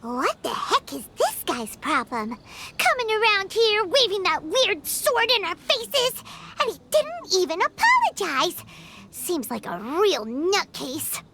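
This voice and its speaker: A woman voicing a tiny, excitable, childlike fairy companion in a video game, exclamatory and quick to protect her friends. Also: very high voice, noisy background, heavily acted. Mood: indignant. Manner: speaks of self in third person, exclamatory. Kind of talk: animated video game dialogue. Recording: music behind the voice.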